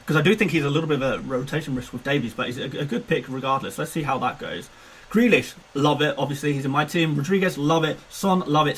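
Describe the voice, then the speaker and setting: A man's voice: fairly high pitch, a bit bright, slightly rough, low-quality recording. A man in his twenties chatting casually on a live stream, self-mocking and laughing often. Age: 20s. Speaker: fantasy football streamer.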